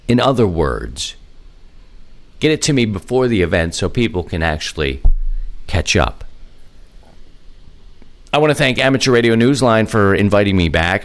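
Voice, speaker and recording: A man hosting a podcast in a casual radio style, with a playful, folksy, rambling manner and self-deprecating asides. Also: sits low. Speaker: podcast host.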